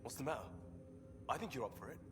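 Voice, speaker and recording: A man's voice: medium pitch, lively intonation, fast, big-room sound, over music, natural voice. A man playing an easygoing, supportive crewmate in a naturalistic video game cutscene. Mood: friendly, encouraging.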